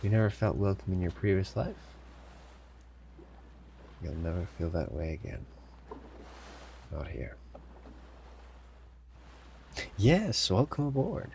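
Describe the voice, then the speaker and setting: A man playing a sly, commanding pirate captain in an audio roleplay, smugly amused and theatrical, with deliberate, formal phrasing, teasing pauses and a gravelly voice. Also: sits low, big pitch swings, darkish tone, slight background noise, exaggerated voice, rough recording. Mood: welcoming, stern. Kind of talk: scripted immersive audio roleplay addressed to listener.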